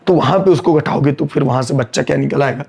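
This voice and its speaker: A man's voice: medium pitch, vocal fry, a little mellow, slightly rough. A man teaching an accountancy class online in Hindi mixed with English terms, working sums aloud and scolding his students, his throat sore and strained. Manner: brisk, scolding, repeats key lines.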